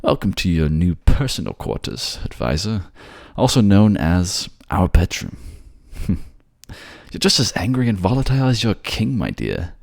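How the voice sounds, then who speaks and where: A man's voice: low-pitched, big pitch swings, a little slow, vocal fry, darkish tone, dry recording, clean studio sound, heavily acted. A man playing a suave, manipulative fantasy ruler who coaxes his captive in an intimate ASMR audio drama. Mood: smooth placating. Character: suave manipulative male water-folk ruler, soothing captor.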